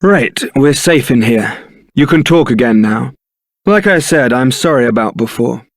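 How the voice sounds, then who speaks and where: An actor with a British accent playing a suave, roguish young templar knight in a video game, polite and teasing. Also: male voice, medium pitch, expressive, unhurried, dry recording, studio quality. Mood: hushed conspiracy. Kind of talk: scripted video game character acting.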